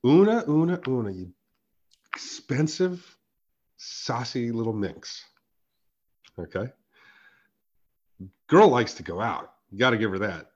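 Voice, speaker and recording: A man with a raspy, hoarse voice, co-hosting a casual podcast chat, emphatic and quick to laugh. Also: medium pitch, muffled recording. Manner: animated, emphatic, swears and laughs often. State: hoarse from a sore throat. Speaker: podcast co-host.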